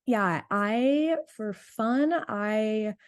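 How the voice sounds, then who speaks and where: A woman's voice: on the high side, very slow, smooth. A woman in her late twenties from North Carolina, a diabetes health coach, chatting casually as an interview guest, laughing often and filling with "like". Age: 20s.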